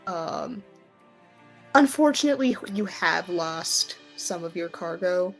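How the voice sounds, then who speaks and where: A woman's voice: fairly low pitch, expressive, slow, faint music behind. A woman narrating a tabletop role-playing game as game master.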